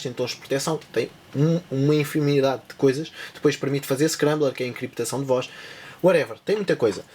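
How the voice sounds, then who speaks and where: A man with a European Portuguese accent giving a casual spoken tutorial, rambling, with many restarts and asides. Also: fairly high pitch. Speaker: tech channel presenter.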